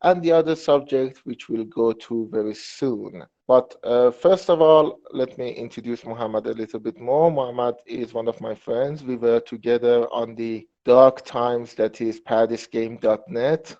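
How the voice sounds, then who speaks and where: A man's voice: mid-range voice, moderate pace, hardly any pauses, mellow. A man hosting a casual podcast in a wry, teasing way. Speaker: podcast host. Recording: slightly dull, lo-fi audio.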